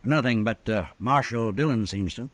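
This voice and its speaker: A man's voice: medium pitch, moderate pace, lightly acted. An actor playing a scheming frontier businessman in a radio western, unctuous and formal with hesitant phrasing and an American accent. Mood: guarded, calculating. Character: smooth-talking, scheming frontier businessman villain. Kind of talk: old-time radio western acting.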